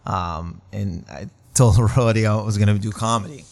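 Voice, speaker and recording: A man's voice: fairly low pitch, moderate pace, vocal fry, a little mellow. A man in his late thirties from Canada, a stand-up comedian telling stories in a casual interview, rambling and self-deprecating with slangy asides. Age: 30s.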